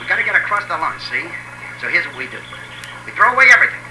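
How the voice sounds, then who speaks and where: A man's voice: high voice, big pitch swings, very fast, echoey room. A man acting as a small, pushy, scheming tough guy with clipped, slangy speech in 1950s radio drama style. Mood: scheming, insistent. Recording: old radio transcription, boxy, hissy.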